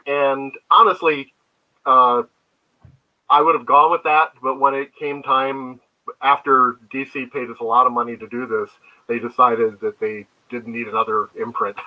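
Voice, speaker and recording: An older man talks as a guest in a relaxed interview. His voice is bright and sits in a middle pitch. He wanders through anecdotes in a conversational way. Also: measured pace, low-quality recording. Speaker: guest, comics creator. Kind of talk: casual interview storytelling.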